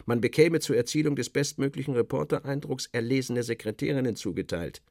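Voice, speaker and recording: A man narrating satirical first-person literary prose in a wry, expressive way with clipped phrasing. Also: medium pitch, a little fast, dry recording, studio quality.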